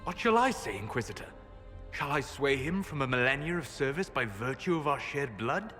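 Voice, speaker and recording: A calm, reserved elven mage. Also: male voice, medium pitch, normal speed. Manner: calm, soft and reasoning. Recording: distant, long hall reverb. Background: faint music.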